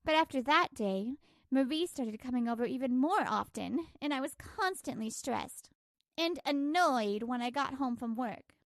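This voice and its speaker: A woman's voice: sits high, expressive, moderate pace, dry recording. A woman voicing an exasperated, aggrieved working wife in a scripted manga dub, narrating and arguing. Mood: weary, troubled.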